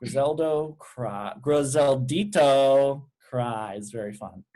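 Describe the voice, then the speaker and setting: A man's voice: medium pitch, slow pace, dark tone. A man reading out stage directions as scripted narration at a table read.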